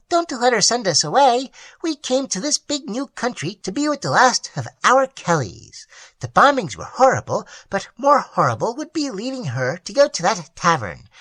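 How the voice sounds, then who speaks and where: Tiny wheedling male troll, as a woman puts him on, shrill and tinkling, wildly swooping pitch. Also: fairly bright tone, breathy edge, no echo.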